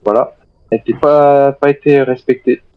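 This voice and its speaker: A man role-playing a courteous zombie survivor in a game, with formal phrasing and hesitant pauses. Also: medium pitch, fairly even intonation, dry recording, phone-quality sound. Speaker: video game role-player. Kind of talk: improvised in-character game chat.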